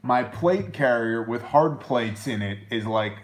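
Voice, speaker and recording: A man's voice: medium pitch, expressive, measured pace, slight background noise. A man co-hosting a live show, talking bluntly in casual chat and reading viewer comments aloud.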